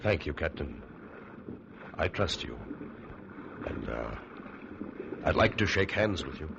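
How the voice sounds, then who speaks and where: A man playing a calm, determined prisoner accused of murder in an old-time radio drama, speaking in an earnest, low-key, persuasive way. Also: takes it slowly, creaky, heavily acted. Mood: urgent, confiding. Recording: noisy, muffled vintage radio recording.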